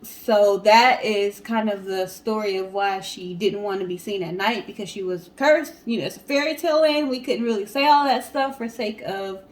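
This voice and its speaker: A woman co-hosting a show, telling stories and chatting casually to the audience in an animated way. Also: mid-range voice, normal speed, few pauses. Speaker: co-host of a storytelling guessing show. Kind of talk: casual storytelling and chat to viewers.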